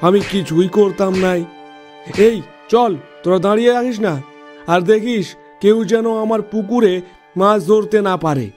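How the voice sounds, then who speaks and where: A man's voice: high-pitched, moderate pace, a little mellow, faint music behind, natural voice. A man playing a greedy, arrogant, domineering village landlord in a cartoon, speaking in an accusing way. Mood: angry, bullying. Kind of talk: cartoon voice acting.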